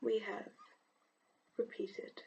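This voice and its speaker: A woman with a cold and a croaky voice, frankly venting in a casual vlog and laughing often. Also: very slow, warm tone, lo-fi sound, slight background noise. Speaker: vlogger.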